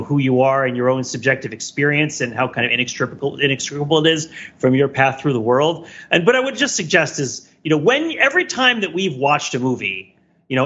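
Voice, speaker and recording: A man's voice: mid-range voice, bright, forceful tone, husky, dull audio. A man talking as a podcast panelist in an emphatic, rambling, philosophical way, giving casual reflective commentary.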